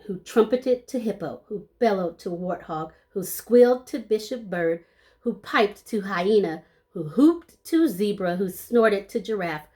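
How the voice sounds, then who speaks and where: Lady doing a kids book read aloud, super expressive up and down voice, really slow, plays up the sound words. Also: medium pitch. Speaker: storybook reader. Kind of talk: animated children's read-aloud with short asides to listeners.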